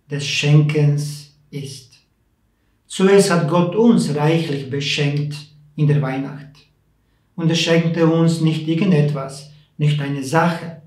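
A man, a deacon, giving a measured, preacherly Christmas message. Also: a little high, darkish tone. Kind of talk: Christmas message, slow and solemn. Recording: echoey room, mic at a distance.

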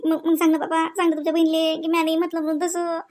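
A woman acts out a young village wife from a comedy cartoon, with a very high voice and a Varhadi Marathi accent. She rattles on quickly, aggrieved and exasperated, piling one complaint on another. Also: completely flat delivery, darkish tone.

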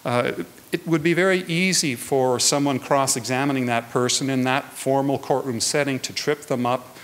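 A man gives a prepared public talk in a measured, earnest way. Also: medium pitch, fairly even intonation. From Canada. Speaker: guest speaker, hearing adjudicator. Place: indoors at a lectern in a hall.

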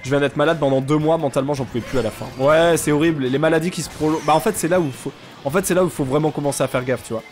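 A young man with a stuffy nose, chatting casually and joking deadpan with viewers while he streams a game. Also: on the high side, racing, hardly any pauses, faint music behind. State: congested, sick with sinusitis. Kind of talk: casual live-stream chat while gaming.

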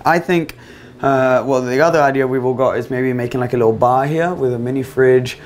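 A man's voice: mid-range voice, a little fast, slight background noise. A young man, giving a chatty, upbeat house tour as he walks around, speaking into a small clip-on mic. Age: 20s. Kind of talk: casual walk-through vlog. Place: small rented house and garden, indoors and outdoors. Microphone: small clip-on mic on his shirt.

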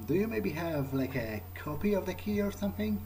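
A man playing a video game and speaking in character, in a halting way that repeats words. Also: high voice, animated. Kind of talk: in-character roleplay game commentary. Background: game music.

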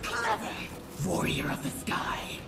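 An echoing, vast goddess of war taunts like a video game villain. She declaims in a low, swooping female voice, domineering and grand, with loud music around her. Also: animated, vocal fry, heavily acted. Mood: taunting. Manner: grand, menacing, slow declamation.

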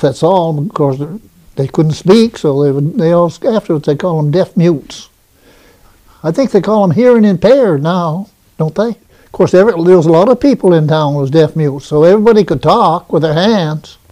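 A man's voice: sits high, warm tone, low-quality recording. An older man telling a rambling, plain oral history recollection in an interview at home. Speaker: interviewee recalling local memories.

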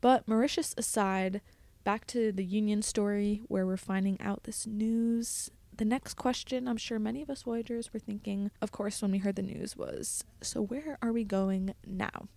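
A woman's voice: medium pitch, steady tone, moderate pace, vocal fry, dark tone, no room sound. A young woman hosting a casual solo podcast, telling stories in a chatty, explanatory way with frequent asides. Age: young adult. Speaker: podcast host, college student studying at sea.

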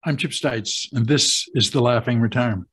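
A man in his sixties with an American accent talks to camera as a host, explaining things deliberately with pauses for emphasis. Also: mid-range voice, fairly bright tone, husky. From United States. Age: 60s. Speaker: host of a retirement advice channel. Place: small home office, indoors. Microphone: webcam video call setup.

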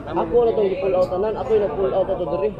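Man, security guard, firm and explaining, high voice, outdoors, loud crowd. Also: fairly even intonation, very fast, phone-quality sound. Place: outdoors at an abandoned urban site.

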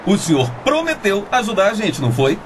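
Indignant Brazilian male sidekick, highish voice, animated intonation, protesting, radio play. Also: fairly quick. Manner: exclamatory, protesting. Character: excitable, indignant male sidekick and loyal friend. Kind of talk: radio drama acting. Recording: noisy background ambience.

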